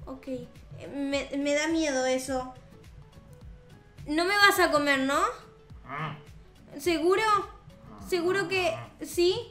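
A young woman with a Rioplatense Spanish accent, hosting a gaming video and improvising roleplay in an expressive, sweet, childlike way. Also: sits high, slow, long pauses. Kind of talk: improvised in-character gameplay roleplay. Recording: roomy echo, music behind. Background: background music.

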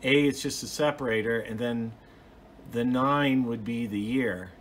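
A man's voice: mid-range voice, measured pace. Man explaining things over background noise. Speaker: hobbyist video host. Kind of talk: informal hands-on explainer. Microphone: phone, off camera. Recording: noisy home video recorded on phone.

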